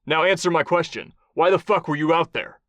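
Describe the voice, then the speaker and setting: A man's voice: medium pitch, fairly quick, a bit bright, no room sound, close to an everyday voice. Man voicing a grim, barking action-hero interrogator. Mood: hard demanding. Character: hard, ruthless male action hero interrogating a captive. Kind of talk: audiobook character voice.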